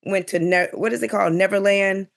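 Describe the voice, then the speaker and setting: A woman's voice: mid-range voice, fairly even intonation, a little fast, gravelly. A middle-aged woman speaking bluntly and emphatically in a casual panel discussion as a guest.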